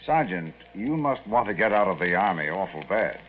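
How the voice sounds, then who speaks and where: Through a thin, hissy vintage radio recording comes a low-voiced army colonel. He is curt, sardonic and exasperated, giving orders in the clipped style of 1950s radio drama. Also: big pitch swings, moderate pace, phone-quality sound.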